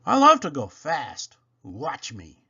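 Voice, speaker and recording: A man voicing an eager, boastful young locomotive in a children's storybook, with excitable, exclamatory delivery. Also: mid-range voice, takes it slowly, slightly rough, highs cut off, exaggerated voice. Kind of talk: children's storybook character reading.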